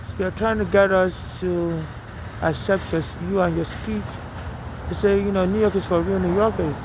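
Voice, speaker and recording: A man from Nigeria with a Nigerian accent mixed with an American one, talking outdoors on a city street at night in a rambling, aggrieved, repetitive way. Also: high-pitched. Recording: noisy street traffic background. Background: passing traffic.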